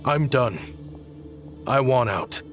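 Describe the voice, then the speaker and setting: A man acting a grave, weary and remorseful weapons designer in a video game, with solemn, deliberate delivery. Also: mid-range voice, faint music behind, natural voice. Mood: defiant, fed up. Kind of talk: dramatic game voice acting, confessional monologue.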